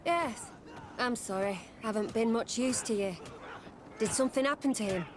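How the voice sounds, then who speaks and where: A woman's voice: fairly high pitch, moderate pace, close to an everyday voice. An actress playing a disciplined female military instructor in a video game, formal and respectful. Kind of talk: video game cutscene acting. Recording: outdoor ambient noise throughout.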